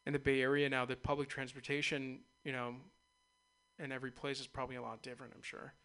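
Male radio host, mid pitch, bright tone, loose rambling chat. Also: little pitch movement, fast talker, smooth. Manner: loose, rambling, laughs easily. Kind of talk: casual interview chat.

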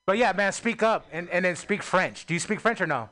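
A man with a high voice gives feedback to a comic. He talks in a chatty, brotherly way and calls him "bro" over and over. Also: expressive, a little fast, bright, forceful tone. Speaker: comedy show judge. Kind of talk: giving feedback.

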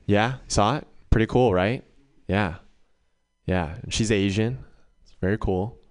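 Guy doing standup, pretty deep smooth voice, tells it like a chatty story. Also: unhurried. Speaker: stand-up comedian. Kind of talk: live stand-up comedy set.

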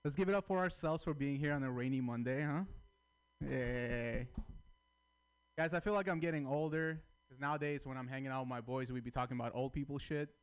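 A man from Armenia, raised in Russia, performing a stand-up comedy set with crude, deadpan punchlines. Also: medium pitch, fairly quick, smooth. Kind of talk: stand-up routine at open mic.

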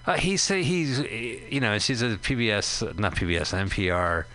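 A man's voice: lowish voice, very animated, a bit bright. A man co-hosting a radio show, chatting casually and rambling while they watch a movie together. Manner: rambling, halting, quick laughs. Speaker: radio co-host.

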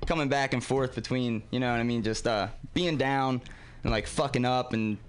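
A man's voice: medium pitch, fairly even intonation, normal speed, clear, resonant tone. A man in his twenties with a Baltimore accent, chatting casually as a guest and leaning on "you know what I mean" fillers. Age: 20s. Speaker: guest musician. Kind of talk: casual radio chat.

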